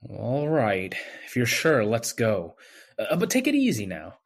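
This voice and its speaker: A man playing a bewildered, earnest man insisting on his innocence in an old-time radio drama, with a husky voice. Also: medium pitch, wildly swooping pitch, a little slow, a little hiss or hum, heavily acted. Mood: reluctant.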